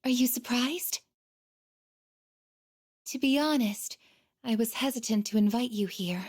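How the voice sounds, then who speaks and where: Android girl, game character, slow deliberate delivery, slightly high pitch, soft, earnest, shyly devoted. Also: slightly rough. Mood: hesitant, sincere. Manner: soft, hesitant, deliberate, tender. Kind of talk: video game voice acting, emotional dialogue.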